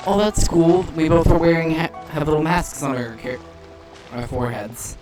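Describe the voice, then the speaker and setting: A man's voice: on the high side, normal speed, darkish tone. A man in casual gamer mode reads dialogue options aloud and comments on the game. Faint music plays behind his voice. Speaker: gamer reading the player's dialogue choices aloud.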